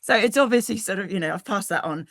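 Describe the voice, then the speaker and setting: A middle-aged woman, a guest telling stories in a lively, animated way in an interview. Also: mid-range voice, fast, dry recording, clean studio sound. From Cornwall. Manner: lively, frequent laughs, animated storytelling. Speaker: guest, Cornish translator. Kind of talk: conversational interview.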